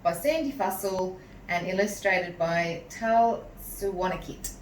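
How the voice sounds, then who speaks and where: A woman reading a picture book aloud to young children in a measured, animated way, pausing to chat to them. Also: lowish voice. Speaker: teacher reading a story to children. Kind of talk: expressive picture-book read-aloud with asides to young listeners. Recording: roomy echo, distant mic, some noise.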